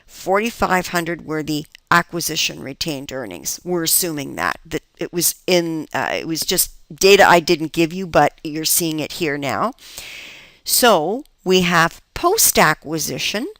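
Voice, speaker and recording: A woman's voice: sits low, lively intonation, unhurried, a bit bright, dry recording. A woman instructor lecturing step by step through worked accounting examples, explanatory and emphatic, often checking understanding with rhetorical questions.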